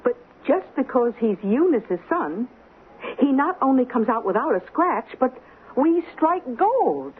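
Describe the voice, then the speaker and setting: A woman's voice: high-pitched, big pitch swings, a little slow, husky, phone-quality sound, heavily acted. A woman acting in a radio drama as an affectionate, reasoning wife, with a coaxing, explanatory and theatrical delivery. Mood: eager, persuasive. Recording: thin, noisy old radio broadcast.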